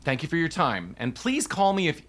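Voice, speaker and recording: Woman playing a very low-voiced, stammering, nervous parent. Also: big pitch swings, fairly quick. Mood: anxious, apologetic. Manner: hesitant, stammering. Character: nervous, halting parent, a struggling musician called Dad. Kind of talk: naturalistic drama acting.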